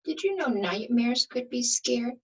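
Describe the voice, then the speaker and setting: Lady reading a kids picture book, slow and dramatic, husky voice, keeps asking the kids questions. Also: a little high, lively intonation. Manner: asks the listeners questions, dramatic emphasis. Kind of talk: expressive read-aloud for young children.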